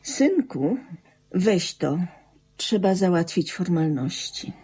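Radio play widow: nonchalant, theatrical mother, husky edge, unhurried delivery. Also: lowish voice, big pitch swings, dark tone, exaggerated voice. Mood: feigned helplessness. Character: cool, self-absorbed new widow, mother of a grown son. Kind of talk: Polish radio drama acting.

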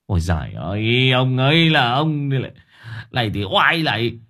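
A man voicing a hot-tempered young master who shouts and scolds in exasperation. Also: mid-range voice, sing-song, moderate pace, voice pushed up, full character voice. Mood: furious.

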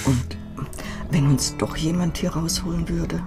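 An actress playing an elderly wife in a German radio drama, wistful, fretful and devoted, often in a questioning manner. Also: female voice, low-pitched, measured pace, creaky. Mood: wistful, worried. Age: older. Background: music.

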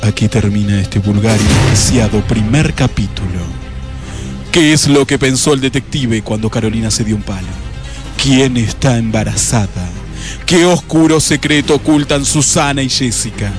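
A man narrating a scripted comic radio drama in a mock-dramatic manner. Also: mid-range voice.

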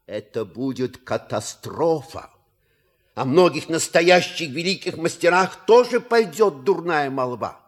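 A man playing a shrewd, courteous manservant in an audio play, with measured, ironic and rhetorical delivery. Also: high voice. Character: suave, shrewd manservant and proud father. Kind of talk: theatrical audio play acting.

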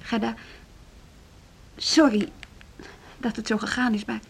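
A husky, determined woman, embattled. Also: a little high, fairly even intonation, slow pace, full character voice. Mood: defensive, weary. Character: Hanneke, embattled, determined woman at a music school. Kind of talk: Dutch radio drama acting.

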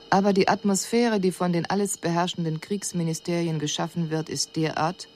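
A lowish female voice, an actress as an alien observer coolly dissecting another species. She speaks in a measured, didactic and formal way, in a radio drama with faint noise behind her. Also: a little mellow, natural voice. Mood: matter-of-fact. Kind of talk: scripted radio drama report.

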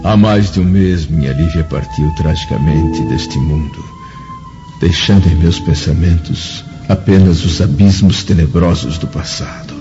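A man's voice: low voice, unhurried. A man playing a proud, tormented Roman senator in a radio drama, with a grave, sighing, anguished delivery. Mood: anguished, remorseful. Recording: dull lo-fi, music behind voice.

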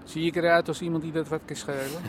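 An actor playing a wary, blunt camp car trader in a radio drama, speaking drily. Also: male voice, fairly high pitch, flat delivery, fast talker, close to an everyday voice. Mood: sceptical. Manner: blunt, dry. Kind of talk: naturalistic radio drama acting. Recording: loud outdoor car noise. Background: outdoor vehicle noise.

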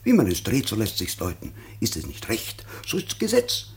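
An actor playing a crafty, pompous lawyer in a theatrical comedy, declaiming and whispering in a creaky voice. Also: male voice, on the low side, wildly swooping pitch, normal speed, a little mellow, a little hiss or hum. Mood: conspiratorial. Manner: sophistical, declamatory. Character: crafty, pompous male lawyer hungry for inheritance. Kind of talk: theatrical classic comedy acting.

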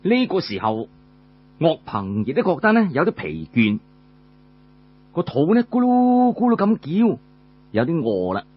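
Animated husky male Cantonese storyteller. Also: fairly high pitch, dull audio. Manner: colloquial, frequent drawn-out filler particles between phrases. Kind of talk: traditional Cantonese oral storytelling, audiobook narration.

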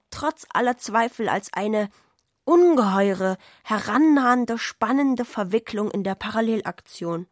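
A woman's voice: on the high side, no echo. Female literary narrator, dry studio sound. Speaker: narrator of a literary radio play.

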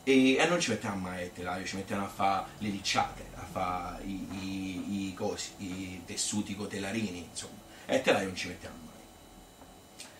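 A man with a Tuscan accent playing a nostalgic, cheerful old grandmother in a chatty, halting stage monologue. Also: low-pitched, fairly even intonation, echoey room, far from the mic, a little hiss or hum, lightly acted. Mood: reminiscing, proud. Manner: colloquial, halting, repeating phrases, chatty. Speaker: solo stage storyteller. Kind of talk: theatrical monologue as spontaneous spoken reminiscence.

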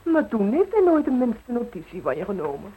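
A woman's voice: on the high side, very animated, a little fast, phone-quality sound. An actress playing a genteel, chatty middle-aged mother in a radio play. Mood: musing. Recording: noisy old radio play recording.

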